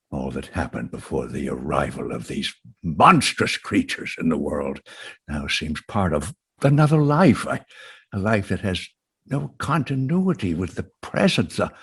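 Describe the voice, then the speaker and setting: A haunted lone astronomer speaks in a brooding, halting way, rising and falling with strong feeling. The voice is very deep, exceptionally clear and slow. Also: male voice, full character voice. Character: haunted lone astronomer, survivor, middle-aged man. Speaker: narrating survivor. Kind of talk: radio drama monologue.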